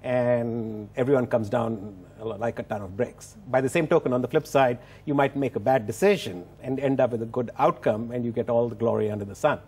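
The husky man in the studio guest chair of an evening business programme, picking through his answers with measured, halting care. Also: medium pitch, darkish tone. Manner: halting, measured, with repeated starts. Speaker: business executive being interviewed. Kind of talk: television interview answers. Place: television studio. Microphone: lapel mic.

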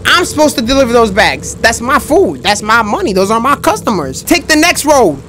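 A young man commentating a horror game in a loud, excitable, trash-talking way, his voice going hoarse, recorded on a headset mic at a home setup. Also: very high voice, normal speed, faint music behind. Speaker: gaming streamer.

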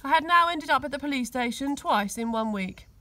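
A woman's voice: high voice, expressive, brisk, sharp tone. A woman reading a children's story aloud expressively, recorded outdoors. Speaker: school teaching assistant reading aloud. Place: outdoors on grass.

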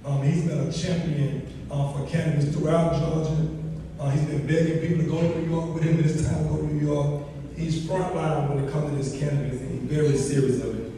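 Mellow man speaking in an echoey hall. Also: a little high, fairly even intonation, a little fast. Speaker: award presenter. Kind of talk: podium speech. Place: hotel ballroom stage. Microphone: podium mic recorded by audience phone. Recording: echoey hall, distant microphone, room noise.

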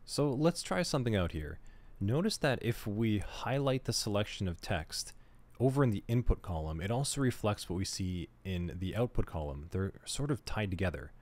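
A man with a somewhat low voice leads a screen-recorded cybersecurity tutorial. He talks at an easy, conversational pace and thinks aloud as he works through each step. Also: normal speed. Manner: relaxed, conversational, thinks aloud while working. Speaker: cybersecurity instructor.

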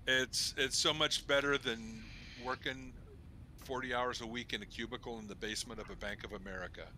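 Man, bright tone, joking, casual voice chat, headset. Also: mid-range voice, steady tone, a little fast, a little hiss or hum, poor audio.

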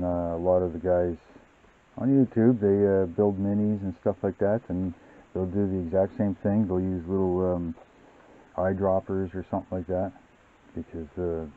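A man hosting a model-building channel, explaining his workbench steps in a relaxed, rambling way with many fillers. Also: deep voice. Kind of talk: casual hobby tutorial narration. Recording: tinny, phone-like sound.

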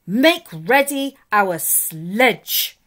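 A woman's voice: medium pitch, expressive, drawn-out words, a bit bright, voice pushed up. A woman voicing a fierce, imperious witch queen who speaks in a terrible voice. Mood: furious. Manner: commanding, furious.

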